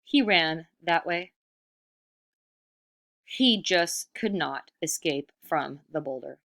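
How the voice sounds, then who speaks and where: A woman reading a storybook aloud in expressive narration, animated and putting questions to the listener. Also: deep voice, slow, vocal fry. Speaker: storybook reader.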